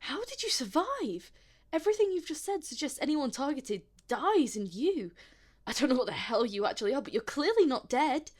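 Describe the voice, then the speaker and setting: A woman playing a sarcastic, wary, lonely but plucky human in an audio drama, in a naturalistic, informal and emotive way. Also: medium pitch.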